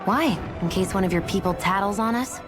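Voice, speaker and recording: A woman playing a cold, sharp-tongued, single-minded young woman in a video game cutscene, curt and scornful. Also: medium pitch, a little fast, over music, natural voice.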